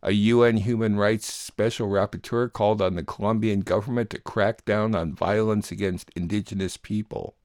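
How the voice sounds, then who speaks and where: A man's voice: fairly low pitch, fairly even intonation, normal speed, few pauses, a little mellow, no room sound, clean studio sound. A man hosting a radio news show, reading scripted announcements and headlines. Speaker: host and producer of a weekly radio news show.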